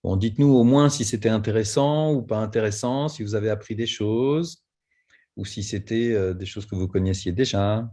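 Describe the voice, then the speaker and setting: A man hosting a webinar over a video call, relaxed and easygoing as he invites questions. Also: lowish voice, normal speed. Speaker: webinar host. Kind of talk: moderating a webinar.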